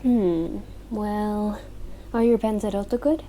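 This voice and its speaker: Woman playing mellow, reserved, polite customer. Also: mid-range voice, slow pace, a little hiss or hum, natural voice, low-quality recording. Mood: polite, wry. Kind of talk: scripted comedy audio drama acting.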